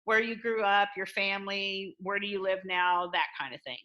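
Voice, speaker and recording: A woman's voice: mid-range voice, steady tone, normal speed, dull audio. A woman from Michigan hosting a podcast interview, chatty and welcoming, talking over a remote call. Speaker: podcast host.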